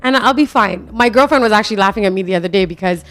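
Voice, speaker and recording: A woman's voice: medium pitch, racing, a bit bright. Chatty woman, laughing. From Trinidad. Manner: chatty, laughs often, many fillers. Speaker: interview guest. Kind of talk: casual interview chat.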